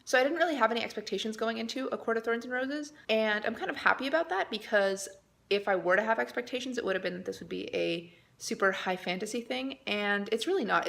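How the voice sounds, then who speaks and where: A woman's voice: medium pitch, vocal fry, a bit bright. Informal, opinionated woman vlogger. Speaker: book vlogger. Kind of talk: casual vlog chat to camera.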